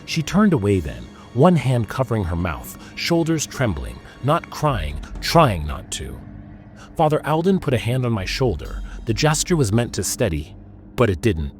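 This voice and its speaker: A man reading scripted first-person horror as an audiobook narrator, ominous and deliberate in his phrasing. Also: medium pitch, wildly swooping pitch. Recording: music under the voice.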